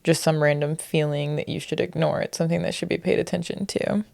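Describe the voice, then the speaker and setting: Low, gravelly young woman, hesitant. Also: level tone, fairly quick, a little mellow, dry recording, studio quality. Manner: searching for words, hesitant, many fillers. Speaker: podcast co-host. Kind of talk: casual chat.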